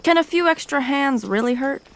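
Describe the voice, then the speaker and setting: A woman's voice: sits high, animated, normal speed, a little hiss or hum. A woman playing a brash arms bearer in a fantasy audio drama, blunt and informal. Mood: matter-of-fact.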